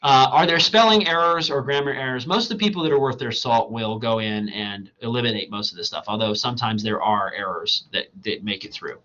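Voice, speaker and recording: Guy giving a recorded class lecture, bright voice, a bit hoarse, dull lo-fi mic, keeps saying right. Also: mid-range voice, fairly quick, hardly any pauses. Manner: conversational and digressive, asks "right?" often. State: losing his voice. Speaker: college writing instructor.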